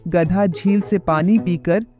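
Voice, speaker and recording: A narrator telling a children's moral story in a lively storytelling way. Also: mid-range voice, takes it slowly, highs cut off, soft background music.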